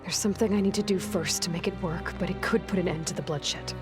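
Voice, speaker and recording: A woman's voice: lowish voice, fast, close to an everyday voice. A woman voicing a determined young warrior leader in a video game, weary but resolute, with music behind her. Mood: somber. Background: background music.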